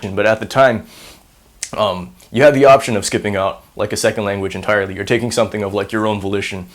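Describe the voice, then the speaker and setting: Casting: man in his twenties, Singaporean-American accent, rambling, self-mocking, fairly low voice. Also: steady tone, fast talker. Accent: mix of American and Singaporean. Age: 20s. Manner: rambling, filler-heavy, self-deprecating humor. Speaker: university student. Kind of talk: casual on-camera interview monologue.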